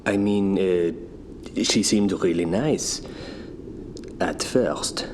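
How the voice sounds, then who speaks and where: Man as a low, slow, brooding confessor. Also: fairly even intonation, a little hiss or hum. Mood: uneasy. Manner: halting, reflective. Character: brooding man recounting a troubling woman, wary. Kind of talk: confessional radio drama voice-over. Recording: music behind.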